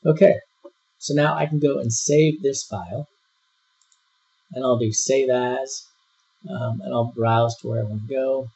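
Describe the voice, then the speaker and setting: Husky, gravelly man walking through software. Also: medium pitch, a little slow, long pauses. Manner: relaxed step-by-step walkthrough with frequent fillers. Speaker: software instructor. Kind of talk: screen-recorded software tutorial. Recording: dull lo-fi voice-over.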